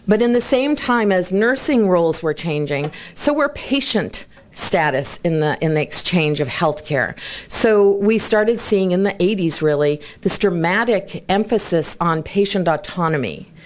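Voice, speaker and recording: A woman in her sixties speaks from a podium in a large, bright hall, her voice sitting a little low. She sets the scene for a conference in a chatty, self-deprecating way, with asides to the audience. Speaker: conference organizer and presenter. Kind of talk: conference talk. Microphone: lapel mic, then handheld mic.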